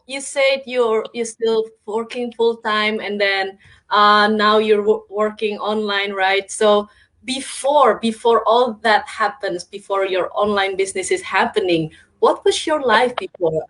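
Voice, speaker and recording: A woman's voice: mid-range voice, fairly bright tone, low-quality recording. A woman hosting a casual online interview who speaks hesitantly with many fillers. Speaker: host.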